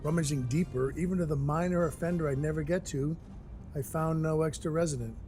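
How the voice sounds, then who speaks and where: Wry, deadpan dwarf enforcer, acted by a man over music. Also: a little high, a little fast, close to an everyday voice. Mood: dismissive. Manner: wry, deadpan, mock-polite storytelling. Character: gruff, sardonic male dwarf enforcer for Santa. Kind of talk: first-person audio drama narration with dialogue. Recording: music behind the voice.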